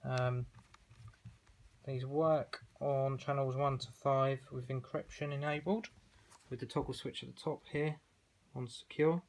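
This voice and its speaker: A man's voice: mid-range voice, steady tone, measured pace. A man giving an informal product demonstration as an online seller, explaining in a conversational, slightly hesitant way.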